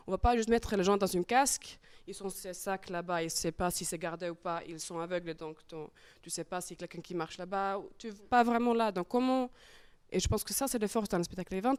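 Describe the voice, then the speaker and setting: A woman with a Finnish accent talks about her shows on a panel. She often pauses and fills gaps while hunting for the right words. Her voice sits in a middle register and is picked up close and dry. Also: medium pitch, darkish tone, clear, resonant tone, dry recording. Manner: hesitant, searching for French words, many fillers. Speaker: circus artist and show creator. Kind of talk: panel talk, casual explanation.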